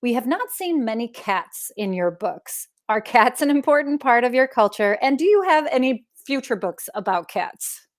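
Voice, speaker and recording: A woman's voice: fairly high pitch, very animated, normal speed, hardly any pauses. A woman interviewer on a video call, conversationally putting questions to a guest. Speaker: interviewer relaying children's questions.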